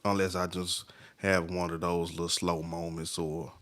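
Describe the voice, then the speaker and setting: A man's voice: low-pitched, little pitch movement, normal speed, a bit bright. A man hosting a late-night livestream, chatting casually with viewers by name and trailing off mid-thought. He sounds a little stuffy in the nose. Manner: laid-back, talks to viewers by name, trails off. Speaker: livestream host.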